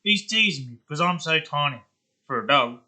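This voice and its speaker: A man's voice: on the high side, lively intonation, a little fast, pushed and bright, voice pushed up, full character voice. A man voicing a tiny, annoyed cartoon dog with an exaggerated Australian accent, pleading insistently.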